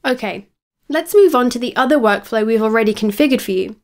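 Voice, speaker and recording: A woman presenting a software tutorial in scripted instructional narration, explaining clearly step by step. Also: a little high, brisk.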